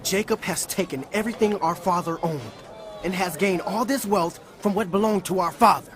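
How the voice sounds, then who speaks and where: An actor playing a resentful, grumbling son in an audio Bible drama. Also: male voice, high-pitched, moderate pace, a crowd in the background, close to an everyday voice.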